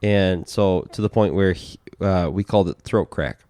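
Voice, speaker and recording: A man's voice: sits low, warm tone, no echo, studio quality. A man co-hosting a casual podcast, arguing his side and telling long stories. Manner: argumentative, drawn-out storytelling. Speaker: podcast co-host. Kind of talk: casual banter over drinks.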